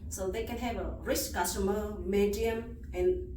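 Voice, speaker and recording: A woman from Vietnam with a Vietnamese accent, answering job interview questions in halting, non-native English. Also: mid-range voice, fairly even intonation. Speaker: job candidate being interviewed. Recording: roomy echo, distant mic, loud background noise.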